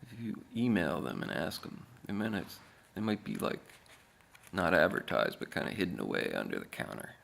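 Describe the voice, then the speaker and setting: A man reading aloud as an author, in a deadpan, measured manner with deliberate pauses. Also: sits low, vocal fry, slight background noise. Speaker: author reading own book.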